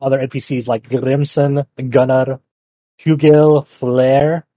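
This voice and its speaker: A man's voice: mid-range voice, little pitch movement, takes it slowly, warm tone. A man co-hosting a gaming podcast in casual chat. He talks loosely, with many fillers. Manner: loose, filler-heavy, lots of "uh" and "like". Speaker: podcast co-host.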